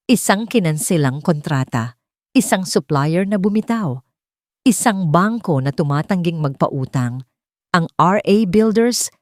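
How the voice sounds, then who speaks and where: A woman storyteller with a fairly low voice delivers scripted drama narration. Her phrasing is deliberate and dramatic. The sound is close and dry. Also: darkish tone, no echo.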